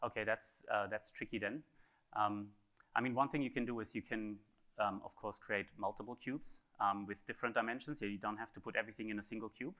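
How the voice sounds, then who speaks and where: Bright-voiced hesitant man answering questions. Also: on the low side, fairly even intonation, brisk. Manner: hesitant, many fillers and restarts. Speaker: conference speaker answering questions. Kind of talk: technical Q&A after a talk.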